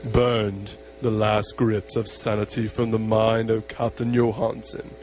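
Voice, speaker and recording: A man narrating an amateur horror radio play in a solemn, measured, portentous way. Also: fairly low pitch, completely flat delivery, a little mellow, soft background music.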